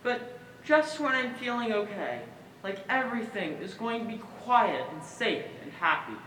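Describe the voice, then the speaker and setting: A woman plays a wary, childlike figure in a stage play, timid and watchful. Her voice is sharp and bright, with big swings in pitch. It comes from a distance in a reverberant hall. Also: big pitch swings, normal speed. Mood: anxious, earnest. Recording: echoey hall from far off, noisy.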